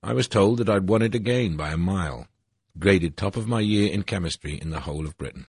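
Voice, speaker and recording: Deep-voiced older man, aggrieved. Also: a little mellow, slightly rough, no room sound, studio quality. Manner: formal, aggrieved, measured. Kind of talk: read personal statement.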